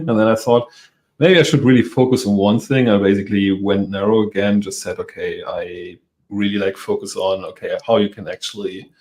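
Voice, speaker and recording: A man's voice: on the low side, a little mellow. A man from Europe, a native German speaker, talking as a guest in a casual interview, hesitant with self-deprecating laughs.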